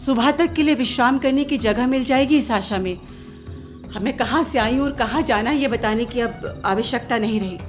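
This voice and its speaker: Radio play stranded lady, female actor: high voice, formal, sharp-tongued and argumentative, music underneath. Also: normal speed, lightly acted. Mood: weary, explaining. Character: proud, sharp-tongued woman traveller stranded at night.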